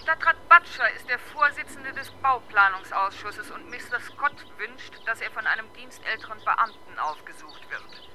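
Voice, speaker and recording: An actress playing a brisk, formal police radio dispatcher with clipped delivery in a 1970s German radio crime drama. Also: female voice, fairly high pitch, expressive, sharp tone. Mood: brisk, official.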